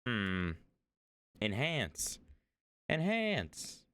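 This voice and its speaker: A man's voice: medium pitch, huge pitch swings, drawn-out words, fairly bright tone, a little hiss or hum. A young man streaming as he reacts to a video, talking casually, rambling and hesitant, with lots of fillers.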